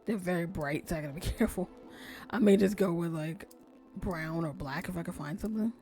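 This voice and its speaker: A woman streamer chatting casually while she plays, soft-spoken and sometimes nearly whispering. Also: fairly low pitch, a little fast, soft background music. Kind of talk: casual gameplay commentary. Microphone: desk streaming mic.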